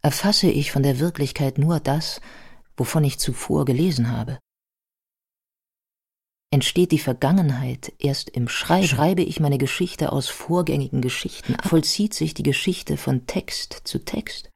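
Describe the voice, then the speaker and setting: A narrator reading scripted literary radio narration in a measured, reflective manner. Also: mid-range voice, creaky, darkish tone, slightly rough. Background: music.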